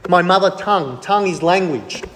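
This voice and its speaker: A male preacher with a notably high voice delivers a sermon. He speaks emphatically, putting questions to his listeners and answering them himself. His voice rings out with the long reverb of a large hall. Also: lively intonation, a little fast, a bit bright.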